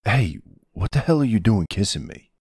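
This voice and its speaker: A man playing a gruff but tender, protective older man in an intimate ASMR boyfriend roleplay, speaking hesitantly with casual endearments. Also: on the low side, very animated, normal speed, a little mellow, no room sound, studio quality, heavily acted. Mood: nervous, tender. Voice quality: gravelly, husky. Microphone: close, dry microphone.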